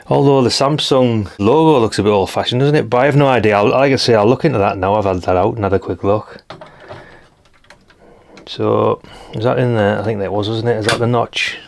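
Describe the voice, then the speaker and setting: A man's voice: on the low side, fairly quick, vocal fry, a little hiss or hum. A British man talking casually and thinking aloud as he gives a hands-on teardown commentary, rambling and informal. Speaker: hobbyist radio channel host.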